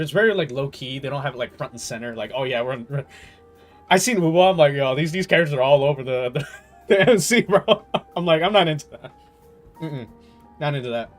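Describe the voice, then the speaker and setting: A man giving casual gaming commentary as a streamer, talking in an animated, slangy, exasperated way. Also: a little high. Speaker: game streamer.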